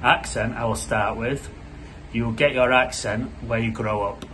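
A man in his thirties with a Yorkshire accent, an English teacher explaining to camera in a casual, unscripted way, with many pauses and fillers. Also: mid-range voice, fairly even intonation, a bit bright, a little hiss or hum. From Yorkshire, England. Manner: unscripted, with frequent "uh" pauses and restarts. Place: small indoor room with a plain wall.

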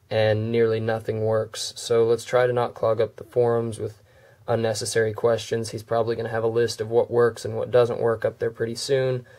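A man with a cold, his voice going hoarse, casually walking through a phone's software and filling gaps with uhs and ums. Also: medium pitch. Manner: relaxed, many "uh" and "um" fillers. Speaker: tech video creator. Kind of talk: casual screen demo walkthrough.

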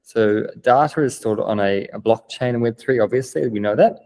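A man's voice: medium pitch, normal speed, darkish tone. A young man with a New Zealand accent, explaining things eagerly on a remote video call, with many "um" fillers. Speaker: guest, business developer. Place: plain-walled room indoors.

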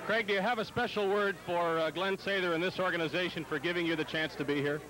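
A middle-aged man doing live post-game interviews as a sports broadcaster, pausing often, on a handheld mic in a locker room. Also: sits high, steady tone, low-quality recording. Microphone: handheld microphone.

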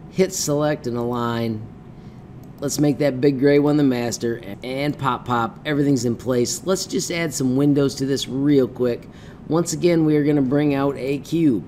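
A middle-aged man giving an informal step-by-step software tutorial, upbeat, with punchy interjections and folksy wording. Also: mid-range voice, a little hiss or hum. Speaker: tutorial presenter teaching 3D design. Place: indoors at a computer, webcam screencast.

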